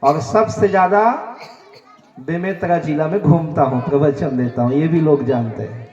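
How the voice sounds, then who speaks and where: A man's voice: fairly high pitch, normal speed, a little mellow. A man from Chhattisgarh with a Chhattisgarhi accent preaching to a village gathering, making earnest, direct appeals to the crowd. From Chhattisgarh, India. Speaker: religious preacher. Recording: echoey, distant, dull sound.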